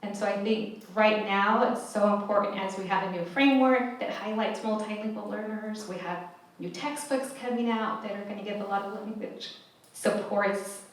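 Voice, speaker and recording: A woman's voice: medium pitch, fairly even intonation, normal speed. Earnest woman panelist in an echoey hall. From California, USA. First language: Spanish. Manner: earnest and heartfelt, many restarts. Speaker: panelist, math educator. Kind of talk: panel discussion. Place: large echoey event hall. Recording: echoey hall, mic far off.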